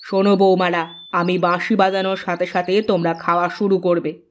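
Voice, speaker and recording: Woman voicing a bossy older mother-in-law, lower pitch. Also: level tone, a little hiss or hum, close to an everyday voice. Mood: commanding. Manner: commanding, deliberate. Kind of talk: Bengali fairy-tale cartoon voice acting. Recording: music behind the voice.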